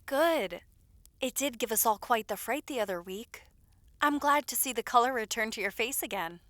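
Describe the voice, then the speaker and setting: A caring young lady's maid played by a woman talks in a high, bright voice. She is loyal and attentive, fussing over her charge with familiar, gentle scolding. It is audio roleplay acting, recorded dry. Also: expressive, moderate pace, no room sound.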